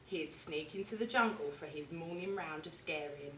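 A young woman teacher reading a picture book aloud with lively, expressive storytelling, in a classroom reading corner recorded from a distance. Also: deep voice, normal speed, a little hiss or hum. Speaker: primary school teacher. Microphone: camera at a distance. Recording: echoey room, mic far off.

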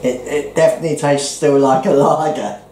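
A man's voice: fairly high pitch, normal speed. Laid-back man, echoey kitchen. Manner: laid-back, agreeing, short remarks. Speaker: co-host of a beer tasting show. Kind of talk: casual beer tasting chat. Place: home kitchen, indoors. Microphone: fixed camera across the table. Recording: echoey kitchen with steady background noise.

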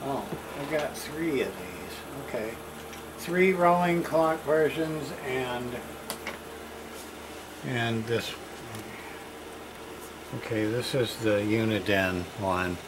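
Slow-talking middle-aged man over heavy noise. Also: mid-range voice. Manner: muttering to himself in short remarks. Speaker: hobbyist unboxing a radio parts package. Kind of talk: casual unscripted unboxing commentary. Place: small cluttered room indoors. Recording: very noisy home camcorder audio, rustling.

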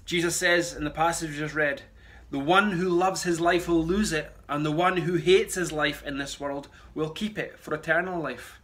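A pastor, a man in his thirties, delivers a conversational sermon. His voice is bright and forceful and pitched a bit high. He explains things earnestly, speaking to a phone. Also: fairly even intonation, moderate pace. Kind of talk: sermon, conversational address to camera. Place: small bright room at home. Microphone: phone a short distance away.

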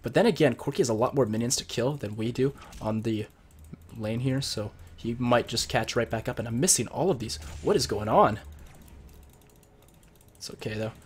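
A young man with a cold, sounding a bit husky and deeper, casually explaining strategy as he plays and comments on a video game at his computer. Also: moderate pace. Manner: chatty, explains strategy while playing. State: sick with a cold. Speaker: gameplay commentator. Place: home room at his computer.

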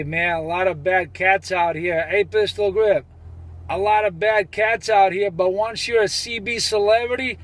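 A high-voiced man talks on CB radio. He is boastful and comes back to the same catchphrases again and again. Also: a bit bright, dry recording. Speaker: CB radio operator. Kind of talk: CB radio banter.

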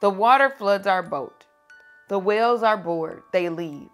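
A young adult woman hosting a read-aloud for children, teacherly, moving between expressive storybook reading and chat with questions. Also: mid-range voice, unhurried, soft background music. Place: small furnished room indoors.

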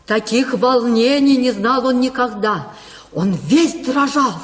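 Fretful doting mother, radio verse drama, high voice, slow theatrical delivery. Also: animated. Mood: anxious. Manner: fretful, declamatory. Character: anxious, doting country mother. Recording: long hall reverb.

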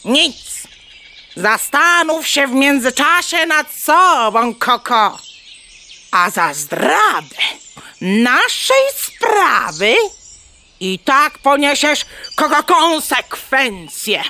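A woman's voice: high-pitched, big pitch swings, extremely slow, sharp tone, a little hiss or hum, exaggerated voice. An actress playing a bossy old mother hen in a children's radio play, scolding sternly with stuttering clucks inside her words.

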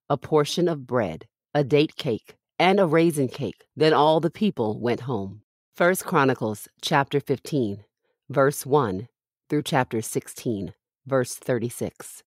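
A woman's voice: low voice, no echo, studio quality. A woman reading Bible passages aloud from a script as a podcast host.

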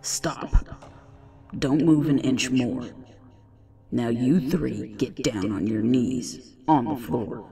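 A man voicing a commanding, godlike male figure in a dramatized audio story, speaking with slow authority. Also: medium pitch, gravelly, over music.

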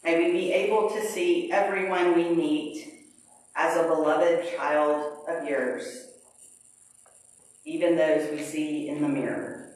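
A middle-aged woman pastor leading a prayer and reading scripture in a measured liturgical way, at a pulpit in a large church. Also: sits low, fairly even intonation, a little mellow. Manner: slow, measured liturgical delivery. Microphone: pulpit mic, far camera. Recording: very echoey sanctuary, distant camera.

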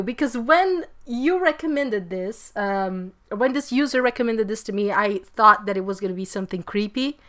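High-pitched young woman with Portuguese accent. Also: a bit bright. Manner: effusive, slangy. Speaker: reaction video host. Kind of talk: casual excited reaction chat. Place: small bedroom, indoors.

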